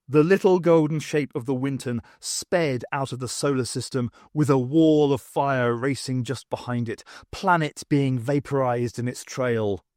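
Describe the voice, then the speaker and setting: A man's voice: medium pitch, darkish tone, dry recording, studio quality. A man reading scripted fiction as an audiobook narrator, with a dramatic delivery. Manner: dramatic reading with acted dialogue. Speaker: audiobook reader.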